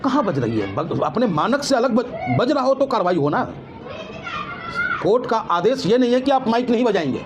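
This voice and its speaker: A man giving impassioned opinions as an interview guest, emphatic and indignant, full of rhetorical questions. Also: sits high.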